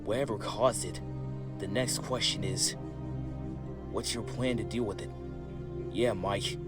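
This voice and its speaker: Blunt, irritable turtle brothers, all done by a man bark sarcastic challenges. The delivery is loud and forceful, pitched above the performer's normal talk, with loud music behind it. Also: a little slow, natural voice. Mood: sarcastic, challenging.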